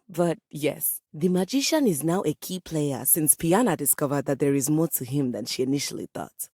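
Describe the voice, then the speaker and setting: A living grand piano from a video game, a proud diva composer with a lowish, slightly husky voice. She speaks formally and with great drama, swooping widely in pitch. The sound is close and dry. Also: no room sound, full character voice. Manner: refined, formal, theatrical, grandly expressive. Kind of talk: theatrical video game character dialogue.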